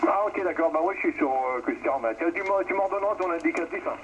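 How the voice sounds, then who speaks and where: A man's voice: a little high, quick pace. A man chatting casually over amateur radio, in a muffled, noisy transmission. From northern France. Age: 60s.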